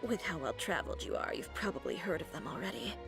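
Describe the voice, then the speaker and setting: A woman's voice: on the low side, very animated, quick pace, vocal fry, music in the background. A woman voicing a confident, blunt young female fashion designer with a composed, dry manner, in an anime-style video game. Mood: calm.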